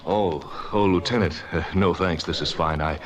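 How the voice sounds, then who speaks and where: A man's voice: low-pitched, moderate pace, noisy background, close to an everyday voice. An actor playing a nervous, polite American witness in a 1950s radio crime drama, fussy and hesitant, stammering over his words. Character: nervous, polite middle-class male witness, eager and fussy.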